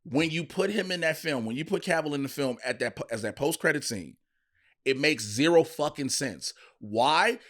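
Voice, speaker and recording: A man with a fairly high voice talks in casual, profane podcast style. He is opinionated and slips into imagined dialogue to make his points. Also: lively intonation, moderate pace, a bit bright. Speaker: podcast co-host. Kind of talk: casual comedic podcast chat.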